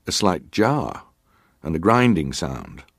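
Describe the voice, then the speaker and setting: Casting: middle-aged male naval officer in hearing drama, deep voice, clipped formal answers, close dry sound. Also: big pitch swings, slow, vocal fry, husky, dry recording, exaggerated voice. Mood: guarded, composed. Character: formal ship's officer testifying, guarded and terse. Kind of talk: dramatised reading of hearing testimony.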